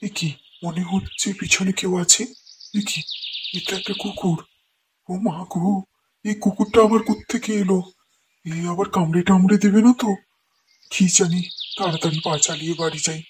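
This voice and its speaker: A man's voice: high-pitched, steady tone, normal speed, long pauses, slight background noise, lightly acted. A man voicing a timid old woodcutter husband who frets and mutters to himself in fear. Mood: fearful. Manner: fretful, scared muttering to himself.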